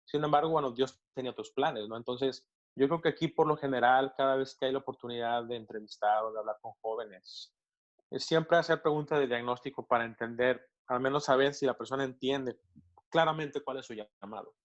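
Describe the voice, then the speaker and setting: A middle-aged man from Mexico, a pastor with a Mexican Spanish accent, answering interview questions thoughtfully and often pausing to restart his sentences. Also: mid-range voice, lo-fi sound. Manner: thoughtful, with many hesitations and restarts.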